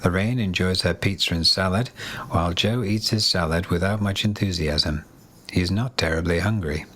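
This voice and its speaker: Low-voiced male narrator. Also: normal speed, bright, forceful tone. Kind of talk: scripted narration.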